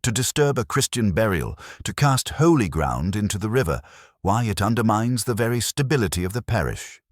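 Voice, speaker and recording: A man's voice: fairly low pitch, very animated, fairly quick, dry recording, studio quality, voice pushed up. A man voicing an indignant, righteous vicar in a formal, pompous, exclamatory manner for a radio-style mystery. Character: indignant, righteous male vicar, fussy and fervent.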